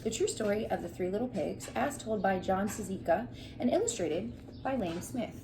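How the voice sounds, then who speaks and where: A woman's voice: medium pitch, animated, moderate pace. A young adult woman teacher explaining and asking children questions in a read-aloud lesson, recorded at home. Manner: explanatory, prompting. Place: living room at home, on a couch. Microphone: camera a short distance away. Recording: clearly noisy home room sound.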